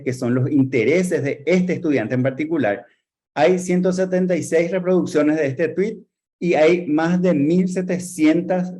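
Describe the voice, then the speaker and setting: A man with a Paraguayan Spanish accent speaks in a somewhat raised, mellow tone. He gives an earnest talk to a group of teachers, using rhetorical questions and steady emphasis. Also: on the high side. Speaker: lecturer.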